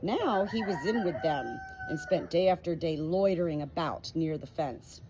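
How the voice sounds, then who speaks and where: A woman with a slightly husky voice narrating a storybook from a script. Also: sits low, lively intonation, moderate pace, noisy background. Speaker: audiobook reader.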